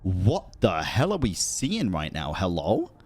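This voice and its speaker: A man's voice: low-pitched, wildly swooping pitch, slow, darkish tone, breathy edge. A man live-streaming a video game, reacting in a jokey, slangy, exclamatory way and reading dialogue aloud. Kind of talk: live game commentary with dramatic reading of on-screen text.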